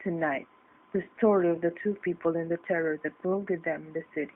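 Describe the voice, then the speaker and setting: A male announcer with a gravelly edge introduces a vintage radio thriller. He is formal and theatrical, and the sound is narrow and aged like an old broadcast. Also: fairly high pitch, steady tone, fairly quick, music in the background. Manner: dramatic, formal. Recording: band-limited old radio recording.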